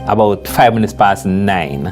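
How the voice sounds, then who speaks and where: An older man giving a formal, halting, measured account in an interview as a security official. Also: on the low side, animated, over music. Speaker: university security director.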